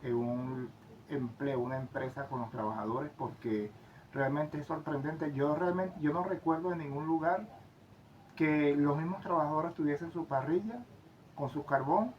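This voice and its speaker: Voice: medium pitch, normal speed, slight background noise. Enthusiastic co-host, lo-fi. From Venezuela. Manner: enthusiastic, many fillers and restarts. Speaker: co-host of travel channel. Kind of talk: casual conversational commentary.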